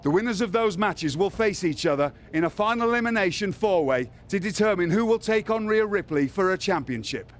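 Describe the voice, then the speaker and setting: A male wrestling boss in a game cutscene. His voice is high for a man, and he is businesslike and measured with a wry edge. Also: expressive. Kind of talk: video game cutscene acting.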